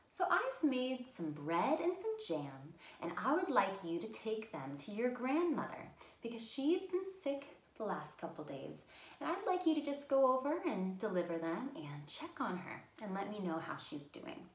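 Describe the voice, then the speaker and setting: Woman, children's storytime host, unhurried delivery, simple encouraging manner, roomy echo. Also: mid-range voice.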